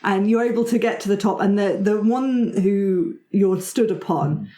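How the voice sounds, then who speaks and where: Woman running a tabletop rpg, mid pitch, mellow, describing scenes, roomy sound. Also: normal speed. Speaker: game master. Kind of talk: improvised tabletop game narration. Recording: roomy echo.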